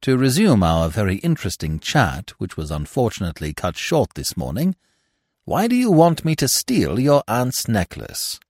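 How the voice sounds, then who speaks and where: A man voicing a suave, unflappable young English gentleman in an audiobook, wordy, elaborately formal and drily courteous. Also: on the low side, wildly swooping pitch, normal speed, breathy edge, no echo, clean studio sound, exaggerated voice. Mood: calm, courteous. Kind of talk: audiobook character voice.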